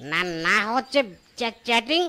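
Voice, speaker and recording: A woman voicing a lovelorn male fox thief in a cartoon, stuttering heavily on first syllables with a melodramatic, halting delivery. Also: a little high, lively intonation, noisy background, close to an everyday voice. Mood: glum complaining. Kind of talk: cartoon voice acting.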